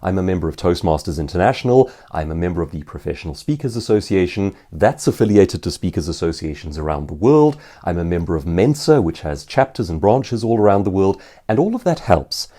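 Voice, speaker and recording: A man's voice: low-pitched, big pitch swings, a little fast, hardly any pauses, mellow. A man with a South African accent giving an instructional talk to viewers, explaining points articulately and posing rhetorical questions. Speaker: author and professional speaker answering viewer questions.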